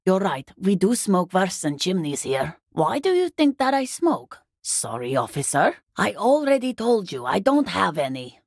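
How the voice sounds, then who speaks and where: A woman playing a weary, guarded working class wife and mother in a video game, husky, plain-spoken and exasperated. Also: on the low side, sing-song, moderate pace, hardly any pauses, no room sound, studio quality. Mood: defensive, irritated. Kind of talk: video game character dialogue.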